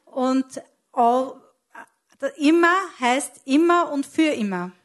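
A woman's voice: sits high, animated, slow pace. A woman interpreting a sermon live, delivering it phrase by phrase and sometimes correcting herself.

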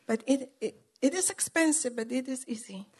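Female preacher, non-native English speaker, fairly high voice. Emphatic, simple phrases, pausing between them. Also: darkish tone, slightly rough. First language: not English. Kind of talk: sermon with pauses for an interpreter.

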